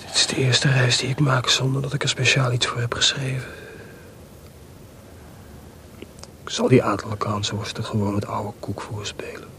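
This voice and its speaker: An actor playing a proud, bitter, jealous composer husband in a radio play, grumbling and sardonic in an intimate way. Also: male voice, mid-range voice, little pitch movement, a little fast, sharp tone, a little hiss or hum, natural voice. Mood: bitter, weary. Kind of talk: Dutch radio play acting.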